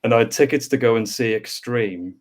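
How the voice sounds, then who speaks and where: A man's voice: medium pitch, brisk. A man from Northern Ireland with a mild Northern Irish accent, a band member chatting as a guest, laughing often and repeating words as he thinks. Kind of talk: casual chat.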